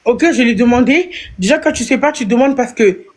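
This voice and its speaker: A woman's voice: fairly high pitch, lively intonation, fairly quick, crowd noise. A woman hosting a casual live stream, teasing and arguing in a playful way and repeating her points. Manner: teasing, argumentative, repeats herself. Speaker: livestream host.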